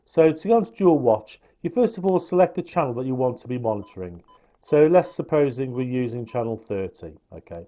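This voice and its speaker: A man's voice: mid-range voice, sing-song, brisk, mellow. A man explaining how a gadget works step by step, in an informal hands-on review style. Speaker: product reviewer.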